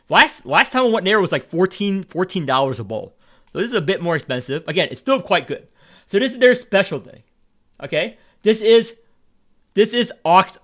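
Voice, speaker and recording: Young man, casual streamer, provocative and crude, high voice that swings a lot. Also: a bit bright. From United States. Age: young adult. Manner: brash, joking, crude and provocative.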